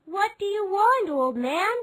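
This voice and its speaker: A man voicing a small magic golden fish in a storybook, speaking in a high squeaky falsetto. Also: expressive, unhurried, fairly bright tone, slight background noise, full character voice. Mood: solemn.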